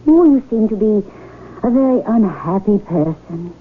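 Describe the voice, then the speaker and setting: A woman playing a haughty, regal royal highness in a 1970s radio drama, speaking in an imperious, formal, measured way. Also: expressive, husky. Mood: regal, softening. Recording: tinny, noisy old radio broadcast recording.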